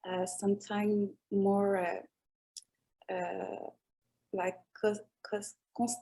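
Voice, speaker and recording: A woman's voice: medium pitch, little pitch movement, extremely slow, dark tone, rough recording. A woman with a French accent, a French native speaker, answering interview questions thoughtfully and searching for English words. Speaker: music artist guest.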